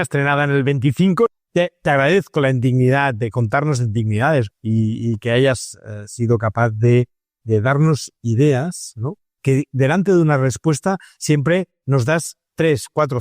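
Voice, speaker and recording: A man with a medium-pitched voice hosts a conversational interview. He doubles back on his words and often adds short tag questions. The recording is dry and close. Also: a little mellow, no room sound. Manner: hesitant repetitions, frequent tag questions seeking agreement. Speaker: interviewer and host.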